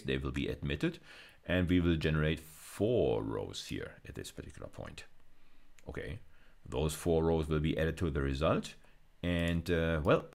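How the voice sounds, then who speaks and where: A man, lecturing step by step in a deliberate, explanatory way with frequent check-in tags. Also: a bass voice, gravelly. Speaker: university lecturer. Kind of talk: explanatory video lecture with live coding demo.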